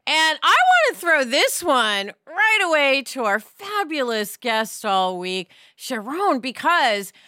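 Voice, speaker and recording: A woman's voice: high voice, huge pitch swings, takes it slowly, few pauses, sharp tone, no room sound, clean studio sound. A woman hosting a podcast in a conversational way, introducing and questioning guests. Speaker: podcast host.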